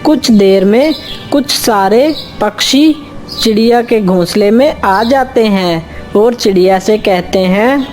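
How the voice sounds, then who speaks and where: A woman narrates a children's story in a mid-pitched voice. She reads slowly from a script. Faint music plays behind her. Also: darkish tone.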